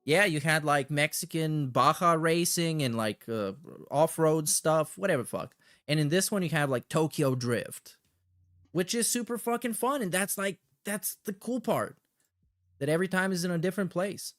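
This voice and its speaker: Slangy male livestreamer, slightly high voice. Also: normal speed, a bit bright, dry recording, clean studio sound. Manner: slangy, sweary, sarcastic. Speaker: livestream host. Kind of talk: casual chat with shout-outs and commentary.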